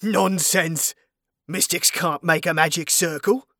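A woman's voice: lowish voice, steady tone, takes it slowly, fairly bright tone, no echo, clean studio sound. A woman voicing a sarcastic, foul-mouthed male wizard in a fantasy audiobook, mocking and theatrical in delivery. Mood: mocking, accusing.

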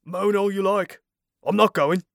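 Woman acting a slow, sneering male wizard. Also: medium pitch, fairly even intonation, gravelly, dry recording, studio quality. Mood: defiant. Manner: mocking, theatrical, accusatory. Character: sneering, sarcastic, foul-mouthed male wizard adventurer.

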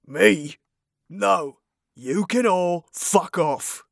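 A woman's voice: fairly low pitch, expressive, extremely slow, creaky, no echo, studio quality, heavily acted. A woman voicing a sarcastic, foul-mouthed male wizard in a fantasy audiobook, mocking and theatrical in delivery. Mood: defiant.

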